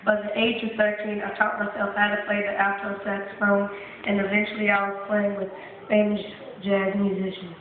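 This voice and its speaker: Woman, steady school-play recitation, big echo. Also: mid-range voice, brisk. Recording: echoey hall, phone-quality audio.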